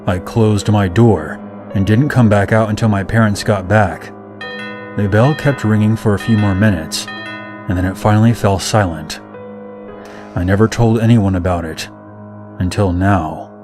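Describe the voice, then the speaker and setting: A man's voice: fairly low pitch, steady tone, normal speed, music in the background. A man reading a scripted first-person scary story as a narrator.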